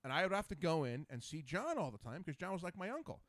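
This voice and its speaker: A man in his forties hosts a relaxed podcast and talks in a loose, profane way, drifting into long stories. His pitch swings widely and sits slightly high. The sound is close and dry, with no room echo. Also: fast talker. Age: 40s. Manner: loose, profane, rambling storyteller. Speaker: podcast host. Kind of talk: casual podcast chat.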